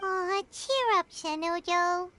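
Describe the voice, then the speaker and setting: A woman's voice: extremely high pitch, slow pace, sharp tone, exaggerated voice. A woman voicing a tiny, cheerful, childlike fairy companion in a video game, with a perky manner. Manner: perky, speaks of herself in third person.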